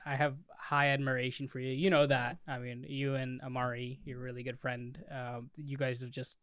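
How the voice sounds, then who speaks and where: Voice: mid-range voice, flat delivery, normal speed, a bit bright, clean tone, no echo. Friendly young podcast host, dry sound. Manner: friendly, hesitant, many fillers. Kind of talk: casual interview chat.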